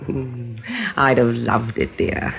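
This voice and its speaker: An actress playing a genteel, coy and adoring bride nearing forty in an old-time radio drama. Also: female voice, a bass voice, steady tone, slow, vocal fry, muffled recording, slight background noise, full character voice. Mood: wistful, fond. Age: middle-aged.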